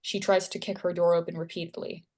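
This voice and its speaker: Lowish-voiced woman reading stage directions. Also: level tone, quick pace, a little mellow. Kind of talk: scripted narration at screenplay table read.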